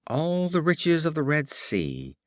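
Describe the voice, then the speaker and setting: A man reading a fable voices a female tortoise who longs to fly, raising his pitch a little and speaking slowly. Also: wildly swooping pitch, dry recording, clean studio sound, exaggerated voice. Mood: pleading. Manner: pleading, then repentant. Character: vain, doomed female tortoise.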